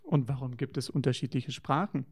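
A man's voice: medium pitch, a little fast, slightly rough. A man co-hosting a children's show, talking in a playful, wondering way to children in a scripted dialogue.

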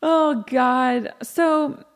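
A woman podcast host chatting solo in a casual, rambling, slangy and self-deprecating way, with a scratchy, croaky voice worn out from talking and lack of sleep. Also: sits high, extremely slow. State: sleep-deprived, voice tired from talking.

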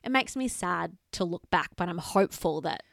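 Woman, 30s, podcast co-host, animated, swings up and down in pitch, dry close sound. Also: moderate pace, creaky, no echo. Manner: animated, swears casually. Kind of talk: casual chat.

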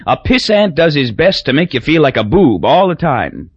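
A man voicing a loud, boozy, crude middle-aged businessman who talks in folksy bluster. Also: fairly high pitch, big pitch swings, normal speed, husky, dry recording, lo-fi sound, pitched above the performer's own voice.

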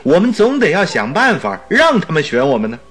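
An actor playing an eager, talkative doctor and detective's sidekick in a radio drama, exclaiming theatrically. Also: male voice, on the high side, wildly swooping pitch, fairly quick, a bit bright, faint music behind, heavily acted. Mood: excited, jovial. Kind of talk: Mandarin radio drama acting.

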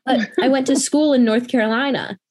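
A young woman with a Midwestern American accent talks in an upbeat, conversational way. She gets animated when telling stories and uses casual fillers. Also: high voice, brisk, rough recording.

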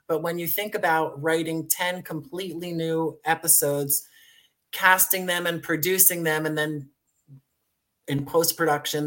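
A man's voice: on the high side, a bit bright. An American man speaking conversationally as an interview guest, effusive and thankful with playful wording. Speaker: guest, audio drama writer and producer.

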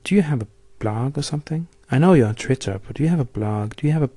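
A man's voice: mid-range voice, expressive, fast, mellow, husky, no echo. A man in his late thirties from Norway, leaving a friendly, rambling voice message for the host. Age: 30s. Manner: friendly, chatty, rambling questions. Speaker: caller. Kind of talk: recorded voice message.

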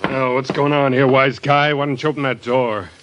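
An actor playing a gruff, sarcastic police officer in an old-time radio crime drama. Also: male voice, medium pitch, fairly even intonation, normal speed, lo-fi sound, close to an everyday voice. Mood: demanding. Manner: sarcastic, blunt, needling. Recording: noisy old radio recording, clicks.

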